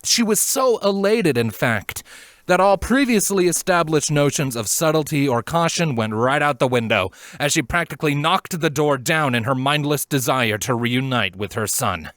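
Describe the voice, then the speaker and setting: A man narrating a scripted story in an expressive, dramatic manner. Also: on the high side, normal speed, dry recording. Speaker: fan fiction reader, narrator.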